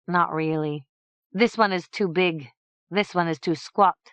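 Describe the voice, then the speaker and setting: A woman's voice: fairly low pitch, fairly bright tone, no room sound. Sir Pompom, a fussy old knight, voiced by a woman. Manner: fussy, slow, particular. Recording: dull dry lo-fi recording.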